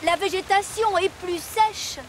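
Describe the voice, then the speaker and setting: A woman's voice: very high-pitched, measured pace, slight background noise. A woman voicing a sensible, cautious female firefighting engine in a children's cartoon dub. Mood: calm, cautious. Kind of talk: animated children's series dub.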